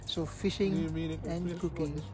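Slow, cheerful woman vlogging. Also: medium pitch, gravelly. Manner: cheerful, laughs often, mixes in Tagalog words. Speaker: vlogger filming a fishing trip. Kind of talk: casual vlog narration. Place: outdoors on a pebble beach. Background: background music.

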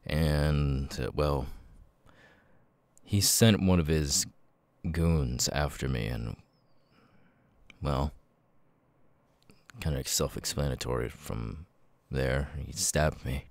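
A man voicing a nervous, awkward young man who stammers haltingly through verbal tics in an ASMR roleplay. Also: a bass voice, no room sound, heavily acted. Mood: uneasy, reassuring.

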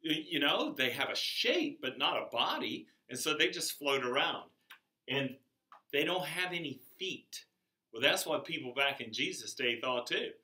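An older man giving a chapel sermon to children in a classroom, speaking slowly and emphatically, his voice rising on key words. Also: fairly high pitch, sing-song, pushed and bright. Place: classroom with a whiteboard, indoors. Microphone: camera across the room. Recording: echoey classroom from far off.

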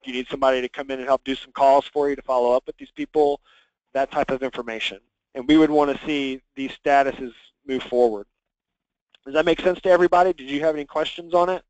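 A man's voice: mid-range voice, steady tone, fast, darkish tone, lo-fi sound. Guy running a screen share training call, explains stuff in a chatty teacher way, mid voice, no room echo. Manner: instructive, checks in with listeners. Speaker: software trainer leading a conference call. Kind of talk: conversational screen-share walkthrough.

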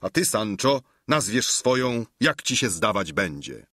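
Brief: grandiloquent dreamy knight, a man reading, husky voice with lively melody, dry booth sound. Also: fairly low pitch, measured pace, dry recording, heavily acted. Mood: lofty. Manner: grandiloquent, flowery speech. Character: lofty, dreamy, idealistic old-fashioned knight, man. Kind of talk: audiobook character reading.

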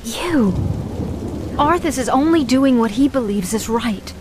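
A woman's voice: high-pitched, lively intonation, a little slow, darkish tone, slight background noise. A woman playing an earnest, troubled young sorceress in a video game, with a pleading manner. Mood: defensive. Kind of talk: video game cutscene acting.

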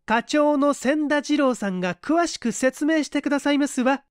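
A man voicing a sharp-tongued young woman cashier with prim, sarcastic politeness, in an audiobook story. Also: very high voice, normal speed, no echo, clean studio sound, voice pushed up. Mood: huffy, sarcastic.

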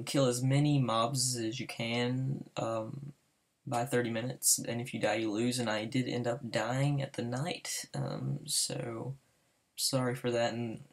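A fifteen-year-old boy with a Southern US accent, talking nervously over his gameplay with many hesitations. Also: medium pitch. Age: teen. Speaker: gamer applying to a server. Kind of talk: casual voice-over gameplay commentary.

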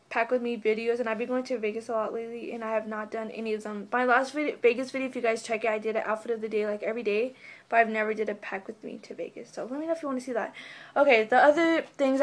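Young woman, slightly high voice, hoarse from a cold, bubbly rambling vlog chat, webcam in a bedroom. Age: young adult. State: losing her voice, getting sick. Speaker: vlogger. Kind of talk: casual haul video chat. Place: bedroom, indoors.

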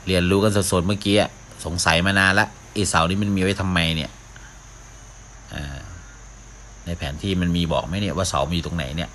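A man's voice: low-pitched, a little fast, sharp tone, slight background noise. A man giving casual live commentary as a gaming video host, often talking to himself as he plays. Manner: mouths sound effects, talks to himself while playing.

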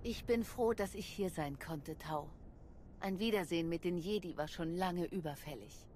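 Woman as a lowish-voiced confident heroine. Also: normal speed, a little mellow, lightly acted. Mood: warm, glad. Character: confident, supportive female heroic commander. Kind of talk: dramatic game cutscene acting. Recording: faint game ambience noise.

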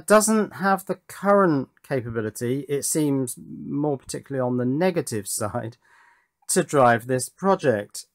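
A British man gives a casual workbench demonstration, pausing to think as he talks. His voice sits at a middling pitch and is recorded dry and close, with little room around it. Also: creaky, no room sound. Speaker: presenter of an electronics project video. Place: indoors at a workbench.

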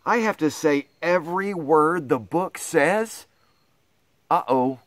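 Theatrical higher-voiced man reading slowly to kids. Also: animated. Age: middle-aged. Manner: theatrical, exaggerated mock dismay and astonishment. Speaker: pastor reading to schoolchildren. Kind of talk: animated children's picture book read-aloud.

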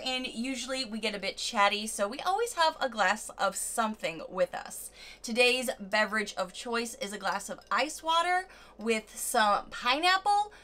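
A woman in her thirties chatting through a makeup review vlog at home, bubbly and gushing, a little raspy from a cold. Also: sits high. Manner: bubbly, gushing, animated hand gestures. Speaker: beauty vlogger. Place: home room, indoors.

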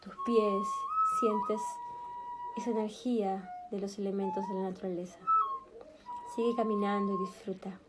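A woman leading a guided meditation in a soothing, gentle manner, speaking in short, spaced phrases. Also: medium pitch, animated, moderate pace, heavy background noise. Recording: background music with flute. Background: meditation music with flute.

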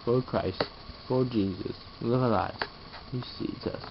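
A man's voice: medium pitch, measured pace, creaky. Tired young man mumbling on a lo-fi webcam. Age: young adult. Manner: drowsy, mumbling, trailing off. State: tired, yawning. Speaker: vlogger. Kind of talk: casual vlog with rapped verses. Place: small bedroom, lying down. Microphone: webcam held close overhead. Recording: dull lo-fi webcam audio with noise.

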